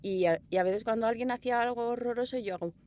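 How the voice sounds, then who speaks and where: A woman from Spain speaking as a director in an interview, expressive and rambling, telling things informally in a sound booth. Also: fairly high pitch, quick pace. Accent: Spanish (Spain). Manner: expressive, rambling, acts out quoted dialogue. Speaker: audio drama director being interviewed. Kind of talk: informal, anecdotal interview answers.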